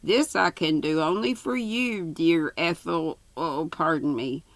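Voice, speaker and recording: A woman's voice: sits low, unhurried, no echo. A woman voicing a poor, lovestruck young man in a storybook melodrama, pleading in grandiose, overwrought style. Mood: pleading ardour. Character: lovestruck, florid, poor young male suitor.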